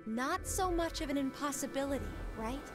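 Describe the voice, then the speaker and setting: An actress voicing an earnest, cerebral young woman in a video game, deliberate and didactic, pressing with leading questions. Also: high-pitched, animated. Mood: calm, probing. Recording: music under the voice.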